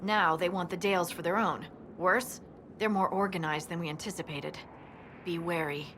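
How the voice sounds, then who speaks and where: A woman plays a serious fantasy game scout reporting to a superior. Her voice is fairly low, formal and orderly, with noticeable ambient noise behind it. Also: steady tone, moderate pace, a bit bright, lightly acted. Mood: warning. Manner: crisp, formal, sober briefing. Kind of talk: dramatic video game dialogue.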